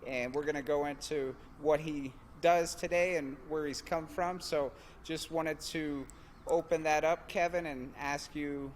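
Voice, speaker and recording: Laid-back male podcast host, a bit high-pitched, faint hiss in the background. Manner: relaxed, with drawn-out phrases and fillers. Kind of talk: casual interview chat.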